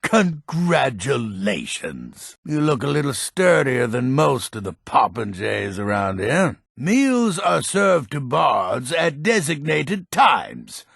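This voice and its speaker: A proud, cranky old Nordic townsman from a fantasy game. His voice is deeply gravelled and very husky, swinging widely in pitch. He talks in a gruff, blunt, folksy way. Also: very animated, takes it slowly, hardly any pauses, a bit bright, no echo, clean studio sound, exaggerated voice. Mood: curt. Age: older. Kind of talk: fantasy video game character acting.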